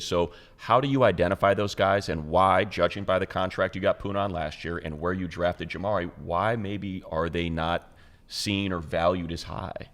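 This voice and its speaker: An American man hosting a sports podcast, asking questions in a conversational interview style. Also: sits low.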